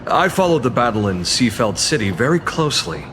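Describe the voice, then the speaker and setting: A man's voice: mid-range voice, sing-song, fairly quick, noisy background, natural voice. A man voicing a courteous, formal male guide who is easily flustered in a video game.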